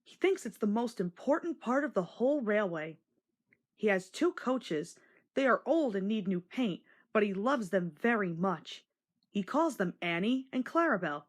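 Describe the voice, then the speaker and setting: A woman's voice: medium pitch, lively intonation, normal speed. A woman narrating a scripted children's storybook as a read-along.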